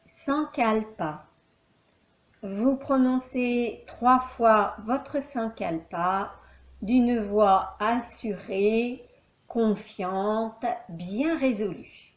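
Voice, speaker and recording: A woman with a fairly high voice guides a relaxation meditation at an extremely slow pace. She gives calm, measured instructions as a yoga nidra teacher, placing each short phrase on its own and leaving long pauses between them. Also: rough recording.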